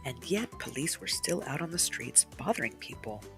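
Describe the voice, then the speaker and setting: A woman reading a children's picture book aloud in an expressive, dramatic storytime style, pausing to explain the pictures. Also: low-pitched, music in the background.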